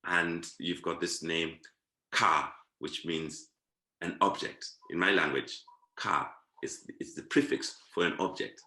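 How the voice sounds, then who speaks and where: A man's voice: low-pitched, unhurried, sharp tone, echoey room. A man from Zimbabwe, a guest talking in a reflective conversation, thoughtful and repeating words to savor them. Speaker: guest, performing artist.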